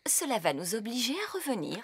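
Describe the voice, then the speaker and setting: A woman playing a prim, bossy and self-assured fairy nanny in a children's cartoon dub, speaking in a brisk, theatrical way. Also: fairly high pitch, big pitch swings, noisy background. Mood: fussy, focused. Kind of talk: children's animated series dubbing.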